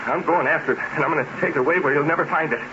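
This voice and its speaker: A man's voice: fairly high pitch, very fast, lightly acted. An actor playing an agitated, greedy, domineering man with an urgent, insistent delivery in an old-time radio horror drama. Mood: agitated defiance. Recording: noisy, dull vintage radio recording.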